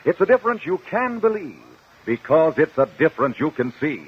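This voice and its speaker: A man announcing a 1950 radio advertisement with an emphatic sales pitch. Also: sing-song, brisk, breathy edge. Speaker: commercial announcer. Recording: muffled vintage 1950 radio recording.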